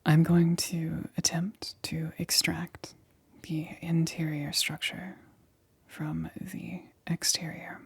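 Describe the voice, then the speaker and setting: Breathy whispering, nervous lab assistant played by a woman. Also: low voice, heavily acted. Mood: anxious, careful. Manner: hesitant, halting, repeats reassurances. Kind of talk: whispered ASMR roleplay.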